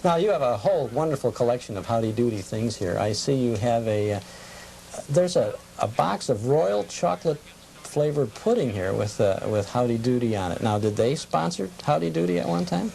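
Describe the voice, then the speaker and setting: Creaky-voiced American man hosting an interview. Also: medium pitch, animated, moderate pace, warm tone. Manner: inquisitive, checking details with follow-up questions. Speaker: host. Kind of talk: conversational interview. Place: television studio. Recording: noisy, deteriorated old cable TV tape.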